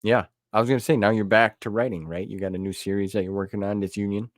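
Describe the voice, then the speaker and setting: Low-voiced man hosting a casual podcast. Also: steady tone, fast talker, dry recording, studio quality. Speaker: podcast interviewer, host. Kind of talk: casual conversational interviewing.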